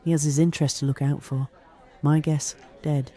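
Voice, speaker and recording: An actor playing a methodical senior police detective in an audio drama, clipped and authoritative. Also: male voice, fairly high pitch, little pitch movement, moderate pace, mellow, a faint crowd, natural voice. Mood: deliberate. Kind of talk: naturalistic audio drama dialogue.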